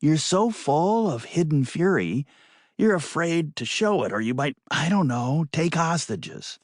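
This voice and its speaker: Man voicing a bossy, exasperated woman, swooping pitch. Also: big pitch swings, a little slow, dry recording, clean studio sound. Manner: emphatic, lecturing. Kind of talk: audiobook character voice.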